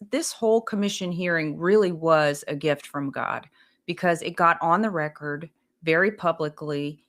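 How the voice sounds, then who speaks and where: A woman, hosting a political talk show, talking in a chatty, agreeable way with many fillers. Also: fairly low pitch. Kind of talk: casual political discussion.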